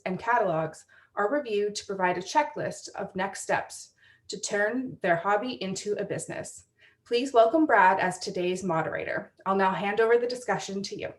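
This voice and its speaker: A woman presenter reading a speaker's introduction in a steady, scripted way. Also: medium pitch. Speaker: event presenter.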